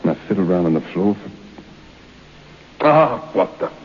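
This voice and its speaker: An actor playing a proud, unnerved man trapped alone in a dark room, muttering to himself with forced bravado in an old-time radio drama. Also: sits low, sing-song, normal speed. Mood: wistful, muttering. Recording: dull, noisy old radio broadcast.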